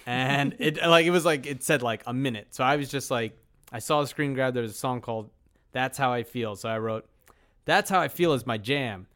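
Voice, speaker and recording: A man's voice: mid-range voice, moderate pace, a bit bright. A man co-hosting a podcast in casual, conversational banter. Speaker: podcast co-host.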